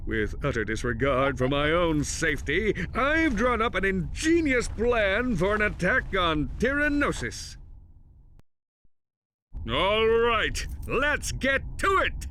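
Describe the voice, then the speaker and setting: A vain space-captain hero from a video game, pitched high and forceful. He speaks in slow, bombastic, swooping phrases full of self-regard, over faint background noise. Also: very animated, exaggerated voice. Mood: pompous self-satisfaction. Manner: pompous, bombastic, self-admiring. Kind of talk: cartoonish video game acting.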